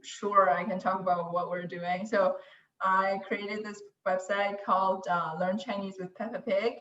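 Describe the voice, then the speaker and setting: A woman's voice: mid-range voice, level tone, a little slow, a bit bright, a little hiss or hum. A woman whose first language is Mandarin, chatting casually and self-deprecatingly, often restarting her sentences. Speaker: guest, language website creator. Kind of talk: casual conversation. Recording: distant, roomy, dull lo-fi sound.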